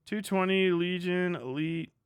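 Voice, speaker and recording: A man's voice: high-pitched, a little slow, smooth, no room sound, studio quality. A man giving casual, profane livestream commentary in an exasperated, ranting manner. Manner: ranting, exasperated, heavy swearing. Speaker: livestream host reacting to videos.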